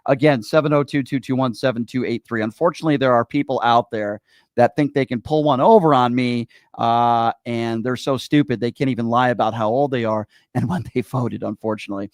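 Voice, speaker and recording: A man's voice: medium pitch, fairly quick, no room sound, studio quality. A man hosting a radio call-in show, talking politics in an opinionated, blunt and confrontational way.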